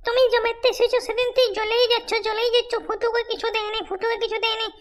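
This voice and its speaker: A woman's voice: extremely high pitch, little pitch movement, quick pace, heavy background noise. A woman voicing a nagging, eager village wife of thirty-five in a crude, wheedling cartoon manner.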